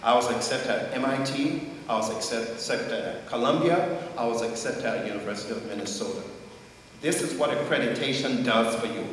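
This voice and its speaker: A man's voice: mid-range voice, a little fast. A man from Liberia giving a formal tribute speech, emphatic and repeating key points for stress. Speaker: speaker presenting an honoree. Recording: echoey hall, faint noise.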